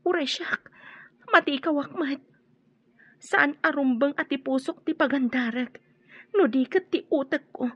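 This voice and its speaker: A woman's voice: sits high, a little slow, pauses often, fairly bright tone. A woman playing a wary, fearful young woman torn by a family feud in a radio drama. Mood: torn, anxious. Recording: muffled, lo-fi radio drama audio.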